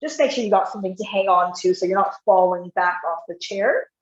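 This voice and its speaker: A woman physiotherapist leading an online exercise class, guiding step by step in an encouraging way, her voice a bit croaky. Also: mid-range voice, a little fast, reverberant, dull audio. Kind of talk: live online exercise instruction.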